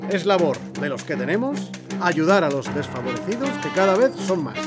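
An actor playing a condescending official of 1918 in a Spanish radio drama. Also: male voice, a little high, very animated, a little fast, over loud music. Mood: solemn. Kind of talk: period radio drama acting.

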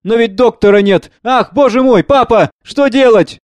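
A man's voice: very high-pitched, moderate pace, a little mellow, dry recording, voice pushed up. A man playing an anxious, dutiful son in a stage drama, agitated and helpless.

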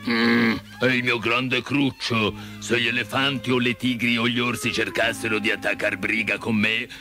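A man voicing a big, gentle cowardly lion in a storybook, with a slow, sighing, mournful drawl. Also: medium pitch, flat delivery, soft background music. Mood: ashamed, mournful.

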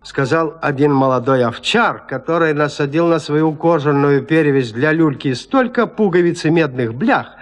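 A man narrating a classic literary tale in an audio drama, measured, with deliberate pauses. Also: fairly high pitch, animated.

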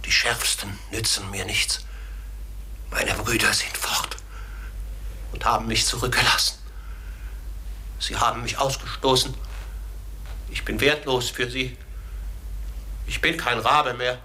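A man acting in a German radio play as a forlorn outcast raven, speaking gravely and haltingly with a lamenting, breathy delivery. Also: mid-range voice, steady tone, pushed and bright, full character voice. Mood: desolate. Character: forlorn talking male raven, outcast and afraid.

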